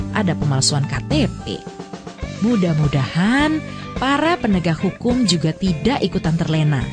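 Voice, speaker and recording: Animated woman narrator. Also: on the low side. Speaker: radio drama narrator. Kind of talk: scripted narration, public-awareness appeal.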